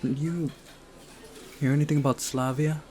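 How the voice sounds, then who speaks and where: A timid teenage girl, voiced by a man, speaks haltingly in a raised pitch. She sounds anxious and shy, a visual novel character with noisy birdsong ambience behind. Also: fairly even intonation, dark tone, lightly acted. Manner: hesitant, pausing between sentences.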